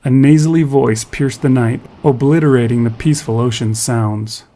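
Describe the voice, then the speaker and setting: A man's voice: medium pitch, normal speed, dark tone. A man reading scripted narration for an audio fiction story.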